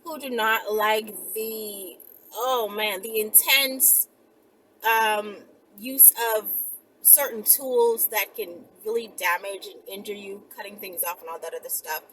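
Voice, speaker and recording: A woman's voice: medium pitch, fairly even intonation, slow, fairly bright tone, a little hiss or hum, poor audio. A woman video host chatting casually and rambling to viewers in a blunt, emphatic, profane, conversational way.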